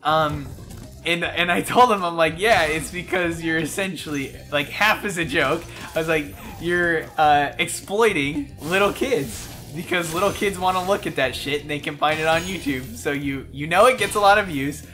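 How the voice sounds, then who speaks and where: Bright-voiced, blunt guy. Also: a little high, moderate pace. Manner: profane, blunt. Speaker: let's play co-host. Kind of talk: casual gameplay banter. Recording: music under the voice. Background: game music.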